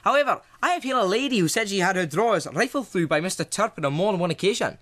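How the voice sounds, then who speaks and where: An eager roving reporter, played by a young woman, with a middling pitch. The delivery is chirpy, blunt news patter for a comic radio play. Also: expressive, quick pace, fairly bright tone.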